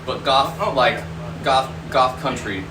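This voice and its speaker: A deep-voiced man rambles and jokes through a live stream as he works in a glassblowing workshop, swearing casually between thoughts. He sits back from the mic, so his voice rings around a roomy, echoey space. Loud workshop noise runs under everything he says. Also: slow pace, far from the mic. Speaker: glassblower livestreamer. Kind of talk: casual live-stream chat while working.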